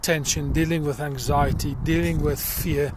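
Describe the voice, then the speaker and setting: A middle-aged man with a South African accent, talking earnestly about himself in a personal vlog while out walking in a field, with many pauses and fillers. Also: on the high side, fairly even intonation, background noise. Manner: earnest and reflective, pausing with fillers. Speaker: crowdfunding campaigner. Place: outdoors in a field.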